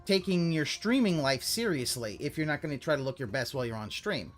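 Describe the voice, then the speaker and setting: A streamer giving live reaction commentary on a video, ranting and cursing, sometimes breaking into loud yelling. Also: medium pitch, animated, a little fast.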